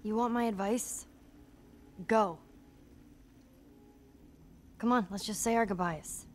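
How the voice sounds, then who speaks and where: A woman playing a guarded, hurt, sharp-tongued American teenage girl in a video game cutscene, blunt and emotionally raw. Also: mid-range voice, takes it slowly, slight background noise, natural voice. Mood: resigned, hurt.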